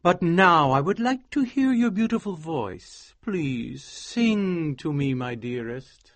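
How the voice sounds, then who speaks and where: A man putting on an intense, ambitious scientist husband, slow and deliberate, his pitch rising and falling a lot. The voice is dry and close, with no room sound. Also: animated, a bit bright, no room sound, full character voice. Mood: tender.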